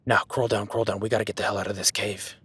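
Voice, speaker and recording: A gruff cowboy hunter, voiced by a man, who barks orders and swears freely. His voice is husky and breathy, with a tight, tense edge to it. He drops to hushed whispers when stalking. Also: lowish voice, level tone, fast talker, dry recording. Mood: urgent, alarmed. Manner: swearing, commanding, urgent. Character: gruff rugged cowboy hunter tracking a beast. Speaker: ranch hand tracking a beast. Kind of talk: audio roleplay.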